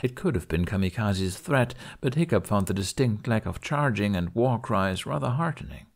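A man narrates a fiction audiobook in a fairly low voice. He reads the scripted prose expressively, as a storyteller. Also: expressive, normal speed, vocal fry.

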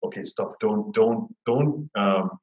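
A man hosts a casual chat. His voice is fairly low, and he often repeats words as he goes. The video call sound is noticeably echoey. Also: takes it slowly, darkish tone, highs cut off. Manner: repeats words and phrases as he talks. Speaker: interview host. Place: plain indoor room, video call. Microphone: wired earphone headset. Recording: roomy echo on video call.

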